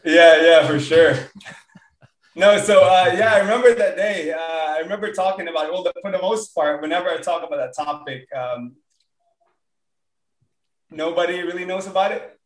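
A man from the Philippines, a guest on a podcast, talking in a relaxed and friendly way with frequent "uh" and "like.". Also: on the high side, normal speed, long pauses, reverberant, far from the mic. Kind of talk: casual interview talk.

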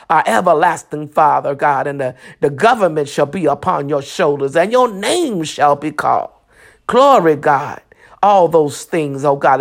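Fervent prayer leader with a mid-range voice. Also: medium pitch. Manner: repetitive, exclamatory, punctuated with praise interjections.

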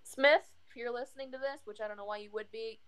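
A woman's voice: fairly high pitch, fairly even intonation, fast talker, a bit bright, clean tone. A young woman co-hosting a casual podcast, ranting bluntly with frequent restarts. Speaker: podcast co-host. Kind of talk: casual chat.

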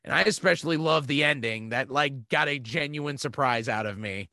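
A man co-hosting a casual podcast, with a mid-pitched voice recorded dry and close. He is blunt and dismissive and swears freely. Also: moderate pace, gravelly, bright, forceful tone, no room sound. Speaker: podcast co-host. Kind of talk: casual chat.

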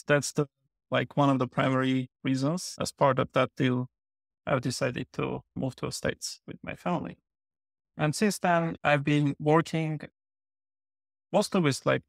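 Guy on a podcast, raspy fry in his voice, careful short phrases, dead dry sound. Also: medium pitch, no room sound. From Armenia. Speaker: guest, product lead. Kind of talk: podcast interview.

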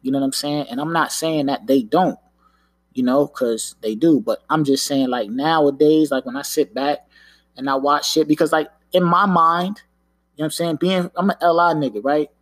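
A man's voice: on the high side, fairly even intonation, moderate pace, no room sound. A man in his thirties from Long Island with a New York accent, hosting a casual solo podcast from home and rambling with lots of filler. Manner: laid-back, rambling, lots of filler and "you know what I'm saying". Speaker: podcast host. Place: home room, downstairs.

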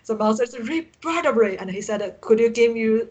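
A woman from China with a Chinese accent, a native Chinese speaker telling stories as an interview guest in halting English, searching for words and often chuckling. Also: on the high side, lively intonation, mellow, lo-fi sound. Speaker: interview guest, science fiction writer and translator. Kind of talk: casual interview storytelling. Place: remote internet call.

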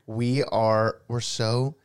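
A man co-hosting a podcast in casual chat, his voice dry and raspy from dust, joking around. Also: flat delivery, drawn-out words. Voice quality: deeper than usual, hoarse. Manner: joking, playful banter. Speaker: podcast co-host.

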